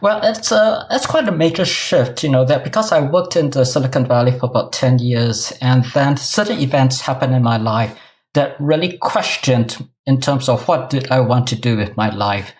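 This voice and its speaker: A man's voice: mid-range voice, normal speed. A middle-aged man with a Singaporean accent, answering interview questions conversationally as an academic guest. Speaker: guest, New Testament professor.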